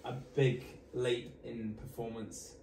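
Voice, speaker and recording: A young man on a casual podcast with mates, playful and quick to laugh. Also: little pitch movement. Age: young adult. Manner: playful, bursts into high laughter. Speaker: podcast co-host, triathlete. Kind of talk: casual banter among mates. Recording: echoey room, distant mic, faint noise.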